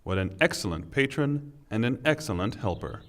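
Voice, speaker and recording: A man giving a solemn, scripted reading of translated scripture with formal, deliberate phrasing. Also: fairly low pitch, lively intonation, a bit bright. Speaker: translation reader.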